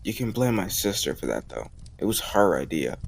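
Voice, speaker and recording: A man acting a warm, teasing young suitor in a romantic audio roleplay, talking casually and intimately to the listener. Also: deep voice, sing-song, moderate pace, vocal fry, a little hiss or hum, exaggerated voice. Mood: teasing, fond. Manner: casual, gently teasing, conversational with soft chuckles.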